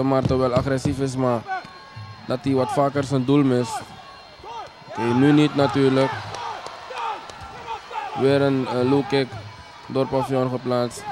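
A man commentating on a kickboxing match in a hesitant, measured way, as a sports commentator does during a live bout. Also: mid-range voice. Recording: music behind the commentary. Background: music.

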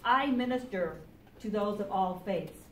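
A middle-aged woman, a chaplain and activist, giving emphatic, deliberate public testimony at a meeting. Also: mid-range voice, lively intonation, fairly bright tone. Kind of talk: public testimony, reading a statement and appealing. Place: public library meeting room, indoors. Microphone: camera a few metres away. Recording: echoey meeting room, distant camera, faint noise.

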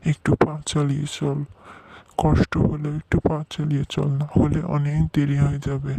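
A man voices a doting cartoon uncle who reassures the young ones. His voice sits a bit high for a man and is recorded dry and close. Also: monotone, measured pace, vocal fry, mellow, no room sound. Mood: hurrying. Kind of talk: amateur cartoon dubbing.

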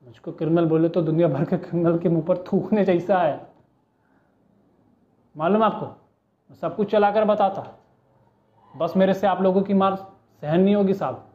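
Phone-like, high-voiced Mumbai street crook played by a man. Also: moderate pace, pauses often, natural voice. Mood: pleading, wheedling. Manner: pleading, bargaining, swaggering street talk. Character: cheeky small-time male street crook in police interrogation. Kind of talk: audition monologue, film-style street-slang acting. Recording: muffled, narrow phone-like sound.

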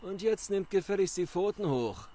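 An actor playing a menacing, gloating ambusher in a German zombie audio drama, sneering and commanding. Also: male voice, high-pitched, animated, moderate pace, mellow, a little hiss or hum. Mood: gloating menace.

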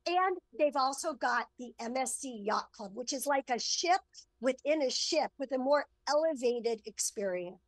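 A woman's voice: high voice, expressive, moderate pace, dry recording. A middle-aged woman speaking enthusiastically as a travel expert, giving an upbeat promotional segment. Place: on board a cruise ship, remote link.

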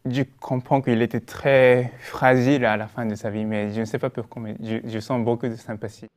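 Young pianist guy, quiet and unsure, interview clip. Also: medium pitch, little pitch movement, brisk, faint music behind. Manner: soft-spoken, hesitant.

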